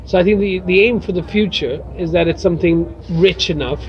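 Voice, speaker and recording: A man's voice: sits high, a little fast, highs cut off. A man, a poet and teacher, answering interview questions outdoors by a bar, thoughtful and hesitant with many fillers. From Brazil. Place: outdoors by a bar in light rain.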